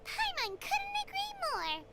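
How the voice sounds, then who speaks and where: A woman's voice: very high-pitched, wildly swooping pitch, unhurried, heavy background noise, exaggerated voice. A woman voicing a small, childlike fairy companion in a video game, cheerful and gushing with praise. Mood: cheerful, approving. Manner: speaks of herself in third person, gushing. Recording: game music behind the voice.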